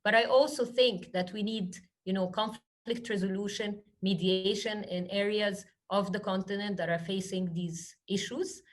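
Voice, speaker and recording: A woman's voice: mid-range voice, steady tone, moderate pace, hardly any pauses. A woman from Egypt giving earnest, flowing answers as a guest speaker in a webinar, often saying "you know". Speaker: guest speaker, social entrepreneur. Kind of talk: webinar Q&A talk.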